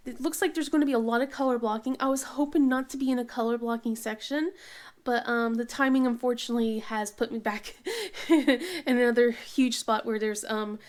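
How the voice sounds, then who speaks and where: A woman chatting casually as she works on a craft project, halting with many ums and restarts, sniffly from a cold. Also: fairly high pitch, steady tone. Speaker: diamond painting vlogger. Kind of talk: casual chat while crafting.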